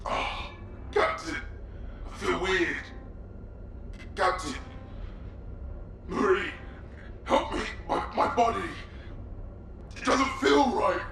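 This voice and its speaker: A high, swooping young male soldier, theatrical and distraught as he unravels in a dramatic game scene. His creaky voice rings distantly in heavy echo. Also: huge pitch swings, a bit bright, a little hiss or hum, heavily acted. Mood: pained, frightened. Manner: slow, theatrical, swinging widely in pitch. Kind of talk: dramatic video game acting. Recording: distant, very echoey, music behind.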